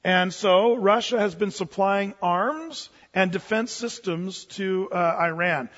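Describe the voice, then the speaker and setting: A man with a high voice preaching in an earnest, rambling way, stumbling over his words and restarting. His voice rings with a long hall reverb, and the recording sounds dull and lo-fi. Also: slow pace, a bit bright. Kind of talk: informal preaching talk.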